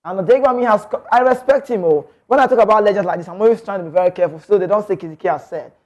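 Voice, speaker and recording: A man's voice: high-pitched, very fast, darkish tone. A Nigerian man hosting a sports talk show, giving passionate, opinionated football commentary full of emphatic rhetorical questions.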